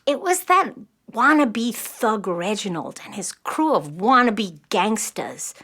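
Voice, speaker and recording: A woman's voice: mid-range voice, sing-song, slow, a bit bright, slightly rough, exaggerated voice. A woman playing a spirited, eager nine-year-old girl in a children's audio play, earnest and chatty as she explains things. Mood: confiding.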